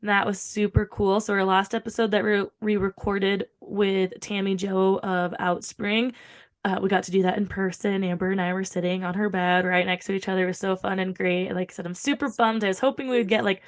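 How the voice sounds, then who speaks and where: A woman with a slightly hoarse, recovering voice, chatting casually and informally as a podcast co-host, with some swearing. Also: mid-range voice, little pitch movement, a little fast. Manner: rambling, informal, frequent swearing. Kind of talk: casual chat.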